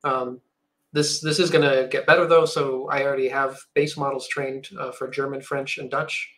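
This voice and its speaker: A man co-presenting a software stream, explaining technical points in a measured way. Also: mid-range voice, little pitch movement, rough recording. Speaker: developer co-presenter.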